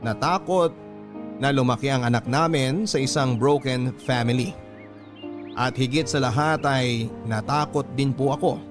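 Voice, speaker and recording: A man's voice: medium pitch, unhurried. Male radio storyteller: middle register, dramatic phrasing, scripted letter narration over music. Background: background music.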